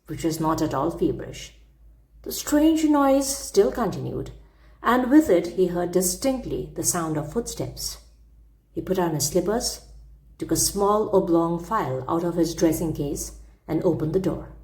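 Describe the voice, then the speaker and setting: A woman narrating a classic story as an audiobook, with measured, precise phrasing. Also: lowish voice, a little mellow. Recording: roomy, echoing sound.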